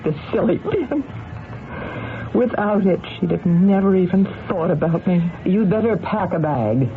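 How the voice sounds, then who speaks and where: An actor playing an earnest, uneasy court officer in an old-time radio drama, hesitant in speech. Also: male voice, high-pitched, animated, a little slow, phone-quality sound. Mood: rueful. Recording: thin old radio recording, faint noise.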